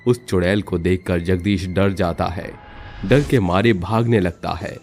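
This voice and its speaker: A man narrating a scripted horror story for a cartoon storybook channel, steady and dramatic. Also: deep voice, a little slow, faint music behind.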